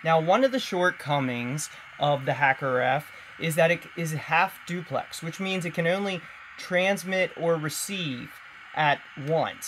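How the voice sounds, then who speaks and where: A man's voice: a little high, fairly bright tone. Man explaining gadgets, noisy recording. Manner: explanatory, conversational. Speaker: tech tutorial presenter. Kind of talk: casual hands-on tutorial narration. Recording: clearly noisy home-video recording.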